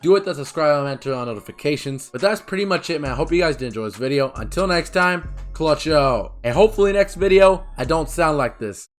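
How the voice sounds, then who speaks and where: A man giving a casual, eager game walkthrough with a hoarse, recovering voice, often dropping in "man" and his personal picks. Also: fairly high pitch, expressive, brisk, hardly any pauses, faint music behind. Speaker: gaming YouTuber.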